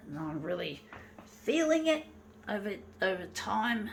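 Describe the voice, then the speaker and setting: Woman, fifties, gravelly voice, self-deprecating rambling vlog, swears when frustrated, faint room noise. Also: medium pitch, sing-song, measured pace. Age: 50s. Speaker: vlogger. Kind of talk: rambling confessional monologue. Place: indoors, room with a copier.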